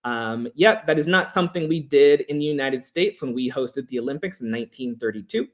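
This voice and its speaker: A man giving a prepared history presentation in a measured, emphatic, formal way, as a lecturer. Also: mid-range voice, animated.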